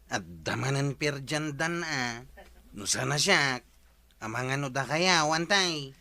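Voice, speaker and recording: A man's voice: mid-range voice, lively intonation, takes it slowly, bright, forceful tone, exaggerated voice. A man acting a nosy, disapproving village man in an Ilocano radio comedy drama.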